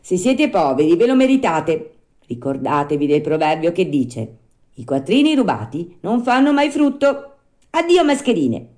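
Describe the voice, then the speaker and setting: A woman's voice: medium pitch, lively intonation, a little slow, mellow, pitched above the performer's own voice, close to an everyday voice. A woman voicing an earnest, cheeky puppet boy in a storybook, with emphatic, sing-song delivery. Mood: mocking, scornful.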